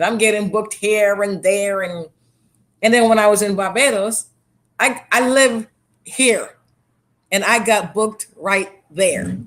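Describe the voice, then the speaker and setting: A woman from Trinidad with a Trinidadian accent, an author and motivational speaker talking candidly in an interview, blunt and emphatic, repeating phrases for emphasis. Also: medium pitch. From Trinidad and Tobago.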